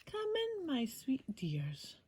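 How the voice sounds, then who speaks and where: A woman voicing a kindly, doting cat lady from a bedtime storybook, in a breathy, affectionate sing-song. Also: high voice, wildly swooping pitch, takes it slowly, noisy background, pitched above the performer's own voice, exaggerated voice. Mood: doting, welcoming. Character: kindly, doting woman who lives with many cats. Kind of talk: bedtime storybook character reading.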